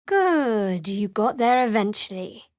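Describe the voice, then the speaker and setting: An actress playing a guarded, wry young female cleric in a fantasy video game, speaking in a cool, dry, measured way. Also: mid-range voice, sing-song, a little mellow, no echo. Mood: wry, composed. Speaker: video game companion character. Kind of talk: scripted fantasy game voice acting.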